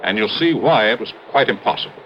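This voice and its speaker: An actor playing a middle-aged mine manager in a 1950s radio drama, explaining things in a matter-of-fact way. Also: male voice, fairly low pitch, animated, fast, highs cut off, lightly acted. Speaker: mine official. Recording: old radio broadcast, roomy with hiss.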